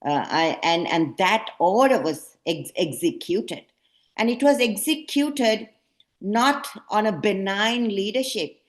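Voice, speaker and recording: A woman's voice: medium pitch, expressive, slow pace. A woman hosting and moderating a panel program, speaking emphatically with frequent hesitations. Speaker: program host.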